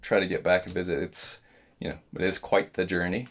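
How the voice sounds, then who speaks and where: A man's voice: low-pitched, level tone, a little fast. A man playing trivia, joking dryly and hesitating with fillers in a casual chat. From Singapore. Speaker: trivia contestant.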